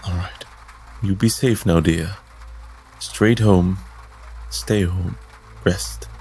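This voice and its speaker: A man playing a solemn, gentle priestly healer in a tabletop game, speaking in measured, formal, caring phrases. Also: low voice, fairly even intonation, slight background noise, full character voice. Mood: tender.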